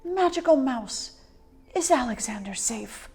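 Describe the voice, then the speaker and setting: A woman's voice: high-pitched, animated, unhurried, music in the background, voice pushed up. A woman voicing a kindly, fretful old lady in a children's storybook, speaking carefully. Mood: anxious. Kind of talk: children's storybook character reading.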